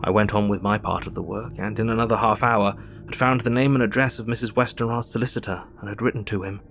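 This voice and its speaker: Grieving english doctor in an audio drama, formal n measured, lowish voice, faint music behind. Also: male voice, fairly even intonation, gravelly, a bit bright. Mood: sober, measured. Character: grieving, earnest Victorian male doctor recounting his diary. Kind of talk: dramatic first-person audio drama narration.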